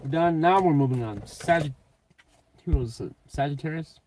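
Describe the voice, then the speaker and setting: A man giving a casual, off-the-cuff tarot reading in a blunt, sweary, slangy way, with a slightly raspy voice. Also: fairly high pitch, moderate pace, a little mellow, slight background noise. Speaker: tarot reader and channel host.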